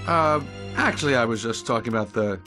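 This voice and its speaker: A man voicing a cheeky, wisecracking young bee in an animated game, glib and theatrical. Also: medium pitch, fairly quick, soft background music. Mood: glib, chatty. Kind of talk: animated video game dialogue.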